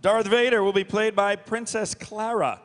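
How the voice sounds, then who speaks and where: A man's voice: high-pitched, steady tone, normal speed, a bit bright. A man moderating a panel, announcing a cast to a live audience into a table microphone on stage.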